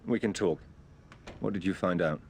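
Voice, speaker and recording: An actor playing a cool, wry British secret agent in a spy game, clipped and understated. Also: male voice, deep voice, moderate pace, darkish tone, a little hiss or hum. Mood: guarded. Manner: understated, dry, businesslike. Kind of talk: cinematic spy game acting.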